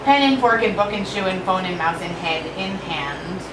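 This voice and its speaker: A woman poet giving an expressive live poetry reading at an evening event. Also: moderate pace. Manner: expressive, shifting pitch and pace for effect. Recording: roomy echo from far off with noise.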